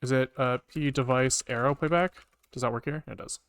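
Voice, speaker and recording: A man casually live-coding on a stream, thinking aloud in halting, muttered bits as he works. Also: mid-range voice, steady tone, fairly bright tone, smooth. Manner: halting, muttering to himself while working. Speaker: programming livestreamer.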